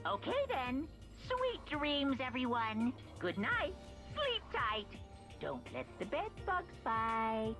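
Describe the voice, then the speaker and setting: A woman's voice: sits high, wildly swooping pitch, takes it slowly, music in the background, heavily acted. A woman voicing a smug cartoon bear mascot giving singsong school announcements in a fan dub of a video game.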